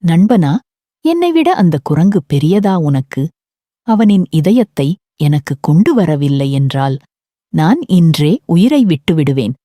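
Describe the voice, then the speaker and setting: A woman's voice: low voice, big pitch swings, slow, mellow, dry recording, studio quality, full character voice. A woman voicing a greedy, scheming female crocodile in a storybook fable, demanding and sly.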